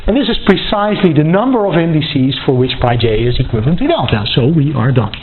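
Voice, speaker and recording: A man giving a mathematics lecture, working step by step in a halting, self-correcting way. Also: fairly high pitch, animated. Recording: thin phone-like band, clearly noisy, clicks.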